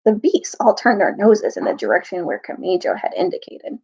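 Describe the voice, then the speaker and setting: A woman reads a children's novel aloud with a very animated, swooping delivery. Her voice has a husky edge and she sometimes rereads a line. The audio is a little dull and lo-fi. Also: mid-range voice, a little fast. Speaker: storyteller reading aloud to students.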